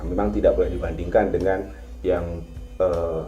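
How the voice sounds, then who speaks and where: A man from Madura, Indonesia, telling his experiences as a restaurant worker in a measured, halting way with frequent filler sounds. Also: sits low, level tone, warm tone. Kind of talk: casual storytelling to camera. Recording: echoey, dull-sounding replayed video with faint music.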